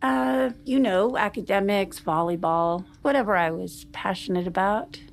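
A woman's voice: medium pitch, expressive, normal speed, gravelly. An actress in an audio drama playing a guarded, evasive married woman who speaks hesitantly and deflects. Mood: wistful, guarded. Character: guarded, evasive married woman in therapy, curt when pushed. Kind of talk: naturalistic audio drama acting.

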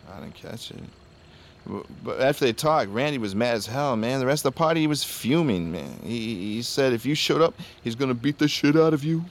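An actor playing a laid-back, evasive young party drug dealer who talks in stoner slang, in a naturalistic audio drama. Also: male voice, mid-range voice, lively intonation, brisk, natural voice. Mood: wary, gossipy. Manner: slangy stoner talk, peppered with bro and man. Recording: faint ambient background noise.

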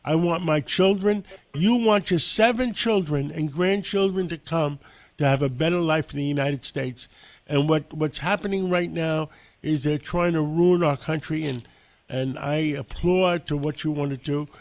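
Older male interviewer in his seventies, slightly high voice, halting delivery. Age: 70s. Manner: halting, with many fillers. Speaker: host. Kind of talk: radio interview.

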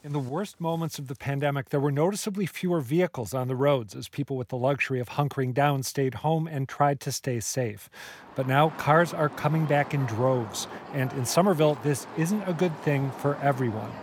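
A man hosting a public radio talk show, brisk and conversational as he moderates guests. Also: medium pitch, a little hiss or hum.

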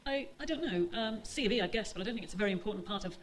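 A man's voice: high-pitched, animated, rapid-fire. Reader plays a stammering, defensive young man cornered by family questions. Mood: flustered.

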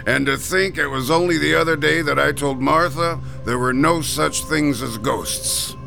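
An actor playing a chatty, folksy gravestone carver who sighs about the heat, in classic radio drama style. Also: male voice, fairly high pitch, normal speed, gravelly, over music. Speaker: stonecutter.

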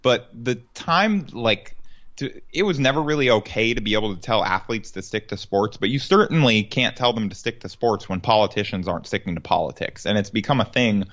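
A man co-hosting a sports podcast in a fairly low voice. He talks loosely, full of "like"s and false starts, and his audio comes through dull and lo-fi. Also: a little fast, fairly bright tone. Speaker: podcast co-host. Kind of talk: casual sports talk.

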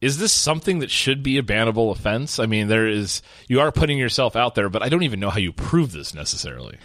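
A man's voice: on the low side, animated, quick pace, a bit bright, no echo, studio quality. A man hosting an esports podcast, joking while running through the week's news in casual chat. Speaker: podcast host.

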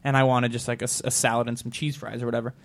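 A man's voice: medium pitch, little pitch movement, racing. A man hosting a casual talk show, telling rambling stories and laughing through them. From Montana. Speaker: show host. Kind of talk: casual storytelling chat.

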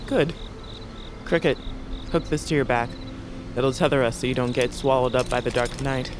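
A woman's voice: a bass voice, moderate pace, noisy background. A woman playing a bold, wary winged warrior in an audio drama, blunt, sardonic and commanding. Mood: wry, businesslike. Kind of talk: audio fiction drama acting.

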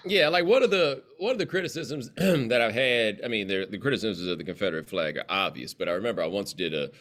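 A man's voice: on the low side, animated, fast, fairly bright tone. A man in his forties with a Southern American accent, a guest telling stories in a casual, idiomatic, emphatic way. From southern United States. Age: 40s. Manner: expansive, idiomatic, emphatic storytelling. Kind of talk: casual conversation.

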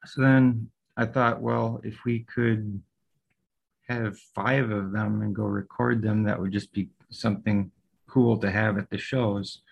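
A man's voice: on the low side, steady tone, measured pace, vocal fry, slightly rough, dull audio. A man, a guest musician, telling his story hesitantly in a casual interview, with many "um"s. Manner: hesitant, starts with "um" and "I don't know".

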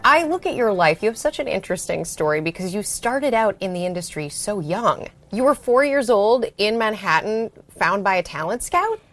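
A woman's voice: mid-range voice, big pitch swings, moderate pace, hardly any pauses, a bit bright, faint music behind. A woman hosting an interview podcast, upbeat and friendly, reading a scripted intro and asking questions. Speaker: podcast host.

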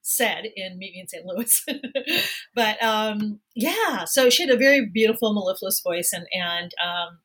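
Bright-voiced middle-aged woman, chatty film critic. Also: medium pitch, lively intonation, a little slow, slightly rough. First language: Spanish. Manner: chatty, reflective, laughs often. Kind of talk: casual interview chat.

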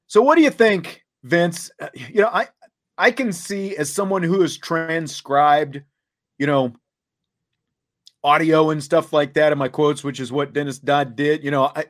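A man hosting a sports talk show, reading and commenting in a deliberate way, laying out points step by step. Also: on the high side, a bit bright.

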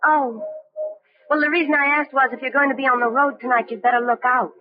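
A woman's voice: high voice, fairly even intonation, fairly quick, natural voice. A woman playing a talkative, folksy young diner waitress in vintage radio drama style. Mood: chatty. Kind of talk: 1940s radio acting. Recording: dull old radio recording with music.

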